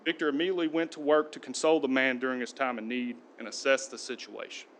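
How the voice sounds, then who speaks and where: A police sergeant speaks at a city council podium, reading out a prepared commendation. His voice sits at an ordinary middle pitch, steady and earnest. A faint hum from the chamber runs under the meeting recording. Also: fast. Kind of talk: prepared speech.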